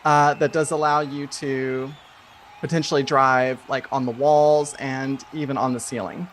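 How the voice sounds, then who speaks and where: A man narrating an improvised tabletop game as game master, in a halting, conversational way. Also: medium pitch, fairly even intonation, a bit bright, slight background noise.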